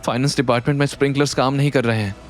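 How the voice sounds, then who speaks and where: A man's voice: mid-range voice, steady tone, racing, faint music behind, natural voice. Man acting an alarmed, urgent security chief. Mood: panicked. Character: alarmed male security chief shouting emergency news. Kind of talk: Hindi audio drama acting.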